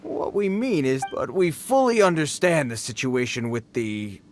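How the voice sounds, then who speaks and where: A small-time male bandit for a video game. He talks in slang with a very animated, excitable pitch. Music sits faintly underneath. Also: a little slow. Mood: nervous. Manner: slangy, brash then nervous. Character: cocky male bandit, easily rattled, informal.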